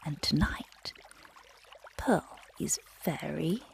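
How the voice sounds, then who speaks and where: A woman guiding children through a relaxation and bedtime story in a gentle, soothing, breathy voice that often drops close to a whisper. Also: sits low, lively intonation, extremely slow, slight background noise. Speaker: meditation guide and bedtime storyteller.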